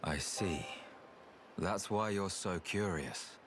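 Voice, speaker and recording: An actor playing a calm, reasonable and slightly weary researcher in a video game, speaking in an unhurried, thoughtful way. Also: male voice, sits low, noisy background. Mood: explanatory. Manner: unhurried, thoughtful, plain-spoken. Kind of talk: video game cutscene acting. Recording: game music behind the voice.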